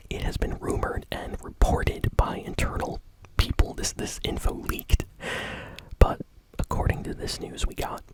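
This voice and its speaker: An ASMR host shares gaming news entirely in a soft whisper, with no voiced tone. The phrasing is informal and a little hesitant, yet keen and enthusiastic. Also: low-quality recording. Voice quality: soft unvoiced whisper throughout.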